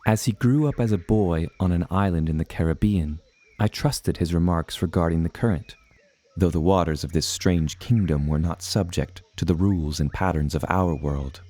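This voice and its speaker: A man's voice: low voice, moderate pace, mellow. An actor playing an earnest seafaring adventurer who tells his tale in formal, old-fashioned language. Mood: earnest storytelling. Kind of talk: scripted storybook narration.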